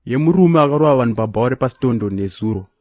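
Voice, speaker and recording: Man playing a fast-talking cartoon tough guy. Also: medium pitch, animated, darkish tone, no echo, natural voice. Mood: threatening. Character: cocky, menacing male tough guy. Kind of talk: comedy cartoon voice acting.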